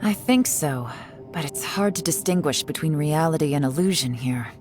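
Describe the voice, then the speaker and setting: Low female voice, cool shrewd investigator, composed, measured, game dialogue, light music behind. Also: expressive, a little mellow. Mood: composed, analytical. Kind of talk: English game dub voice acting.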